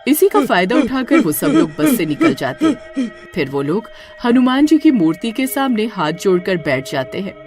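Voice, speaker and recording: A woman telling a spooky cartoon tale with suspense. Her pitch moves a great deal, and faint music plays underneath. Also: big pitch swings, normal speed, a little mellow. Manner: dramatic, suspenseful storytelling. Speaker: narrator. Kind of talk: scripted storytelling narration.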